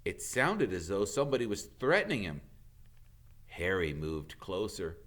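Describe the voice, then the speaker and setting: A man, a teacher, reading a storybook aloud with expressive narration. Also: low voice, a little slow.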